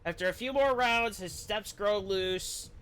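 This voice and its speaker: A man's voice: high-pitched, unhurried, fairly bright tone, a little hiss or hum. A man streaming a game, reading the story text aloud with casual commentary and the odd stumble over words.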